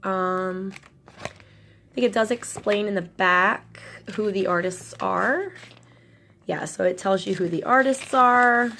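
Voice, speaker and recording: A woman's voice: medium pitch, takes it slowly, sharp tone. A young woman with a cold, her voice nasal and a little crackly, chatting casually and rambling as she unboxes a tarot deck for her channel. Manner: rambling, many ums and restarts.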